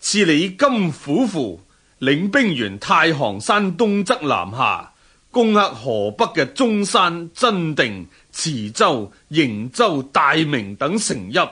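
Slow, imperious Mongol warlord prince. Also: male voice, on the high side, very animated, bright, forceful tone, breathy edge, exaggerated voice. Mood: stern, commanding. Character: commanding Mongol general-prince, hearty and stern. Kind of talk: Cantonese historical radio drama acting.

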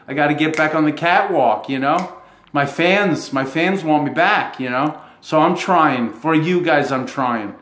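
A man talking casually to his fans in a rambling, halting way, chuckling between phrases. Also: on the high side. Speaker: self-described model. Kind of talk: casual monologue to camera. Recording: roomy echo.

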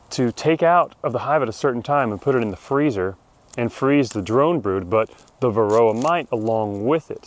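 A middle-aged man explaining beekeeping chores outdoors in a wooded yard, talking plainly and practically, recorded by a camera at medium distance. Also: lowish voice. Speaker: beekeeping channel host. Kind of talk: outdoor how-to demonstration.

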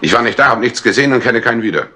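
An actor playing a slippery pickpocket, mock-pious and evasive, in the style of a 1960s radio crime drama. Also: male voice, medium pitch, lively intonation, racing, pushed and bright. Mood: evasive, cheeky. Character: slippery male pickpocket feigning pious innocence. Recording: noisy 1960s radio tape with clicks.

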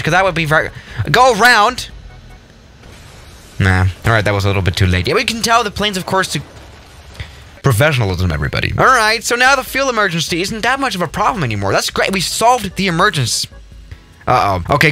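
A man's voice: sits high, sharp tone. A young adult man giving casual, jokey gameplay commentary with a sarcastic, mock-exasperated manner. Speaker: gaming video host.

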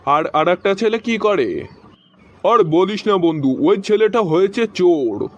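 High, teasing cartoon buddy, played by a man. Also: lively intonation, measured pace, dry recording. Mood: bantering. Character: wisecracking male cartoon friend and dad. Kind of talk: cartoon joke skit dialogue. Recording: dull, noisy lo-fi cartoon audio.

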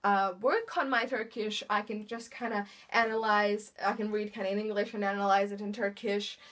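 A woman's voice: mid-range voice, level tone, normal speed, fairly bright tone. A young woman with a Bosnian accent, chatting casually and cheerfully about her new books in front of a bookshelf at home. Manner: chatty, upbeat, many fillers and "really". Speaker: book vlogger. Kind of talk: casual chat. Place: indoor room in front of bookshelves. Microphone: camera in front of her.

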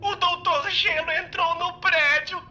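A man playing a cowardly, goofy young slacker in a Brazilian cartoon dub, with a cracking, squeaky voice. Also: fairly even intonation, unhurried, lo-fi sound, heavily acted. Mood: nervous. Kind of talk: dubbed cartoon acting. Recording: loud music behind the voice.

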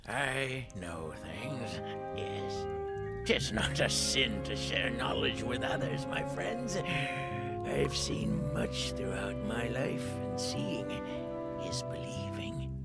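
An actor playing a sly, mysterious old mystic in a fantasy game, with theatrical, archaic, drawn-out delivery. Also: male voice, mid-range voice, huge pitch swings, creaky, full character voice. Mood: enigmatic, persuasive. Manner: slow, theatrical, archaic wording, drawn-out phrases. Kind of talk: fantasy video game voice acting. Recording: music behind the voice.

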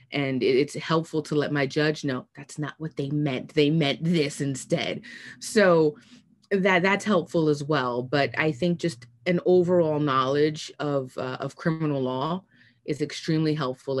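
A middle-aged American woman explaining her work on a video-call panel in a measured, halting way. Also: deep voice. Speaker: panelist, court worker. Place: indoors, home office. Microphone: video call computer microphone.

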